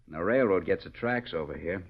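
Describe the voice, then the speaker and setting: An actor playing a slippery, bullying rancher villain with blustering, rough western talk in a 1940s radio western. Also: male voice, low-pitched, animated, a little fast, a little hiss or hum. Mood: scheming. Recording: muffled vintage radio recording.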